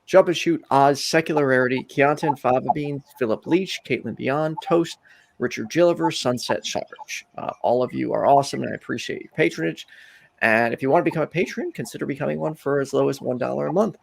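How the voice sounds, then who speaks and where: A man's voice: mid-range voice, a little fast, vocal fry. A man hosting a call-in show, speaking casually and stumbling over his words. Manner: hesitant, filler-heavy thanks. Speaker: host.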